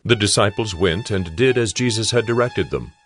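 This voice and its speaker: Deep-voiced male narrator over faint music. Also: normal speed. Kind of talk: dramatized audiobook scripted narration.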